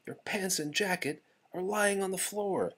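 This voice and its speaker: A man's voice: sits high, a little slow, fairly bright tone, breathy edge, pitched above the performer's own voice, heavily acted. A man voicing a sensible, encouraging frog in a children's story, speaking in an emphatic, instructive way. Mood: matter-of-fact scolding.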